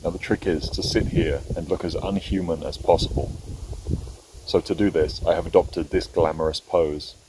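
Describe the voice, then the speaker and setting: Deep-voiced man with dry, sarcastic humor. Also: steady tone, moderate pace, noisy background. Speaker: vlogger. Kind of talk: deadpan camping vlog narration. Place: outdoors in the bush at a campsite.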